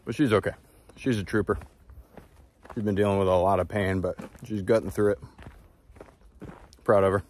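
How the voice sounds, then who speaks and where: A man's voice: fairly low pitch, steady tone, normal speed, long pauses, darkish tone. A man in his thirties chatting casually and reflectively to a phone while walking outdoors. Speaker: travel vlogger. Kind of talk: casual walking vlog chat. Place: outdoors on a countryside footpath. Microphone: phone at arm's length.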